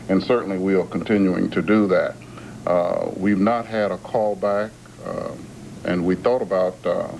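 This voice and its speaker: Low-voiced man giving a halting briefing. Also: darkish tone, low-quality recording. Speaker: sheriff's official at a briefing. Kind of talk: public briefing at a podium. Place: press briefing at emergency operations center. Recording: faint noise, archived TV broadcast tape.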